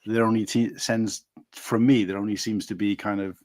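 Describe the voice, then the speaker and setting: A man's voice: lowish voice, flat delivery, moderate pace, a little mellow, no echo, clean studio sound. A man from Wales with a Welsh accent, chatting casually as a guest on a livestream, hesitant and rambling. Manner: rambling, hesitant with fillers and restarts.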